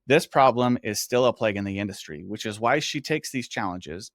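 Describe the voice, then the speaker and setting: A man's voice: medium pitch, fairly bright tone, no echo, studio quality. A man hosting a podcast, introducing and interviewing a guest. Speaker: podcast host.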